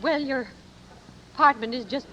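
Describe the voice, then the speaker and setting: A woman's voice: high voice, normal speed, sharp tone, soft background music. An actress playing a fawning landlady who stammers and breaks off her sentences, in a 1940s radio drama. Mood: flustered. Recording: dull lo-fi old radio broadcast, faint hiss.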